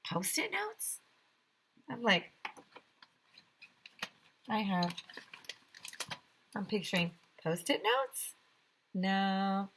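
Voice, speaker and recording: A woman teacher reading a children's storybook aloud with expressive narration. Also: mid-range voice, very slow, long pauses, noisy background.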